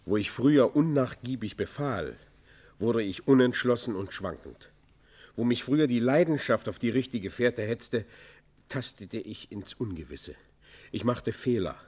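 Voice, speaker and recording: A low-pitched bandit leader laying bare his conscience. He is eloquent and anguished, with a proud streak under the remorse. The delivery is solemn, formal and declaimed in the manner of classic radio drama. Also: a little mellow. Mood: resigned, rueful. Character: repentant robber chieftain confessing, anguished, proud, eloquent. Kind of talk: classic German radio play acting, literary monologue.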